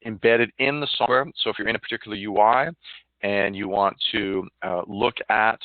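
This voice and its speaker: A man giving a live online software demonstration, explaining informally and haltingly over a conference call. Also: on the low side, fairly even intonation, dry recording. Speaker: software demo presenter. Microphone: phone or web conference call. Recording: narrow phone-like line.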